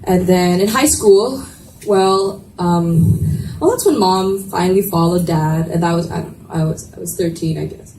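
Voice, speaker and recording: A woman's voice: on the low side, normal speed, dark tone, lightly acted. Proud, defensive young female student in an echoey room, acted by a woman. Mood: halting, vulnerable. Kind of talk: dramatic reading of a romance novel. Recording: echoey room, distant mic, faint noise.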